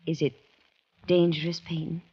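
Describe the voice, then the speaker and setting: An actress with an American Southern accent playing a genteel, strong-willed planter's wife in an old-time radio drama. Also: female voice, low voice, flat delivery, normal speed, breathy edge. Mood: worried, insistent. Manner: genteel, hospitable, insistent. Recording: dull, lo-fi vintage radio recording.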